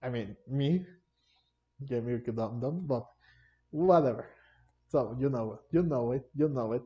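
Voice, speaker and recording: A man giving casual gameplay commentary, rambling and halting, often repeating his words. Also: medium pitch. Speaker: gaming video creator. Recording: dull, lo-fi home microphone. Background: faint game music.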